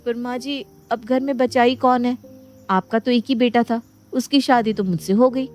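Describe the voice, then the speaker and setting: A woman's voice: a little high, fairly even intonation, moderate pace, a little mellow, soft background music, natural voice. A woman voicing a guileless, sweetly clueless young Indian bride in a cartoon moral story. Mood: innocent. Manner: innocent, artless.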